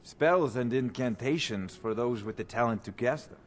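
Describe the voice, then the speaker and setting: An actor playing a learned, businesslike court wizard who sells spells in a video game, speaking formally. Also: male voice, medium pitch, moderate pace, slight background noise, natural voice. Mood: learned, inviting. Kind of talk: video game character dialogue.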